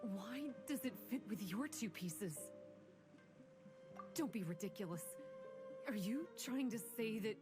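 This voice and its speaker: A woman voicing a young female vishap raised among creatures, who speaks in a cold, grave and measured way. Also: mid-range voice, animated, over music. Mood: shaken. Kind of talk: video game voice acting.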